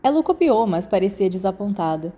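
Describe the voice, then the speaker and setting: A woman's voice: medium pitch, sing-song, brisk, warm tone. A woman with a Brazilian accent reads scripted fiction as an audiobook narrator.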